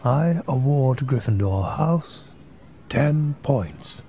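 A wise old headmaster of a wizard school in a video game. He speaks very deliberately and grandly, with wide swings of pitch and long pauses, over faint ambient noise. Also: lively intonation, vocal fry, dark tone, exaggerated voice. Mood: musing, pleased. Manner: stately, deliberate, with weighty pauses. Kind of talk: ceremonial speech in a video game cutscene.

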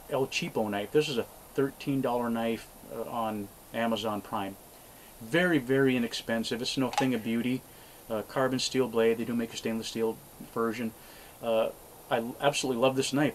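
A man's voice: medium pitch, rough recording. An older man in his sixties, chatting casually to camera from an outdoor cabin porch in a rambling, folksy way with plenty of fillers. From Alaska. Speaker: homesteading vlogger. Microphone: camera mic at close range. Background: faint outdoor noise, passing airplane.

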